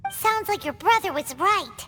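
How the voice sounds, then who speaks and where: A woman voicing a tiny, excitable fairy companion who talks about herself in the third person. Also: a little slow, heavily acted. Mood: teasing. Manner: refers to herself in the third person, squeaky and dramatic. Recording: game audio over loud music.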